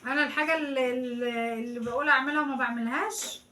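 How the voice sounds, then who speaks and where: A high-pitched Egyptian woman rattling along at a very fast clip. She is a mother in her thirties, chatting with her kids in a relaxed family vlog. She often stops and restarts words mid-thought. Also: echoey room, a crowd in the background. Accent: Egyptian Arabic. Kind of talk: casual family chat.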